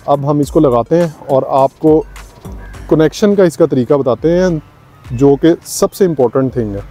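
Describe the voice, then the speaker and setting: A man's voice: medium pitch, warm tone. A man, a vlogger demonstrating a product step by step in a tutorial style.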